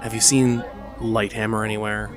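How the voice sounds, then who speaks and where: A man's voice: fairly low pitch, flat delivery, moderate pace, music in the background, natural voice. A man playing an encouraging yet demanding stage director in a musical audio drama. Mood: encouraging, coaching. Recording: noisy room ambience, faint crowd.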